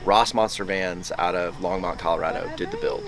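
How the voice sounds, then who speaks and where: A man, an interior designer, explaining his van build in relaxed, enthusiastic, chatty answers, his voice groggy from the morning. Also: deep voice, brisk, over a noisy crowd. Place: outdoors by his van, then inside it.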